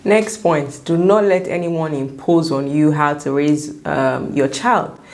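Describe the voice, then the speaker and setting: A young woman with a Nigerian accent and a slightly croaky voice, giving chatty, emphatic advice in a vlog. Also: low-pitched, slow pace, a little mellow. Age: young adult. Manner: emphatic, repeats words for stress. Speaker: vlogger sharing first-time mom advice.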